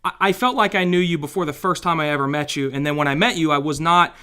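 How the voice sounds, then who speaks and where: A man's voice: fairly high pitch, fast talker, pushed and bright, clean tone. A man hosting a podcast, asking questions in an enthusiastic, admiring way. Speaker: podcast host. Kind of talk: interview questions.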